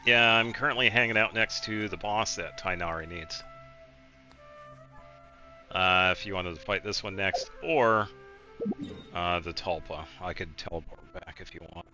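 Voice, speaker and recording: Guy streaming a game, really deep but crisp forward voice, rambles along casually. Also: unhurried, pauses often, pushed and bright, soft background music. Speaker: game livestreamer. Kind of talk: casual chat while playing a game.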